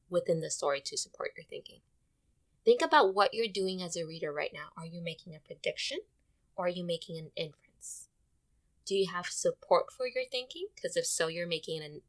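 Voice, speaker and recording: A woman teacher giving an expressive read-aloud lesson, speaking directly to young readers and repeating her questions. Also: lowish voice, gravelly. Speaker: elementary school teacher.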